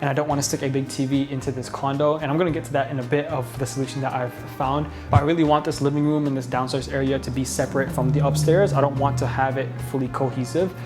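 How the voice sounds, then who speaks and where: A man with a mild head cold talking casually as the host of a home tour vlog, indoors in an empty living room. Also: medium pitch.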